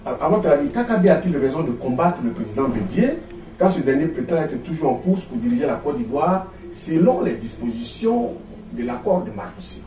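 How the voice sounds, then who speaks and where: A man's voice: a little high, sing-song, a little fast, dark tone. A man working as an interviewer, putting political questions to a guest. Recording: echoey room, distant mic, faint noise.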